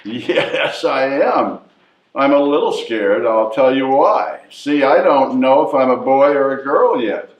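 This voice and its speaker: Bossy, cocky newborn baby played by a man, lively swings in pitch, distant echoey stage recording. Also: takes it slowly, highs cut off. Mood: nervous. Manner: bossy, know-it-all. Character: cocky, chatty newborn baby, sure of being a girl. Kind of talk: readers theatre comedy performance.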